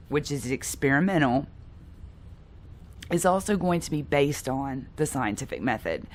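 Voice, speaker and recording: A woman in her thirties or forties lectures in a deep voice with a gravelly edge. She sounds stuffy from a cold, and her explanation runs with the odd um and a restarted phrase. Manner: explanatory, with filler ums and restarts. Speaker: psychology professor. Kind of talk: recorded lecture.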